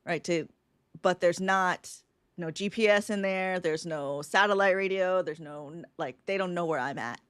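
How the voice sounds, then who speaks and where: A woman's voice: lowish voice, normal speed, a bit bright, clear, resonant tone, no echo. A woman co-hosting a podcast, thinking aloud casually with many fillers. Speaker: podcast co-host. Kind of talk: casual live podcast brainstorm.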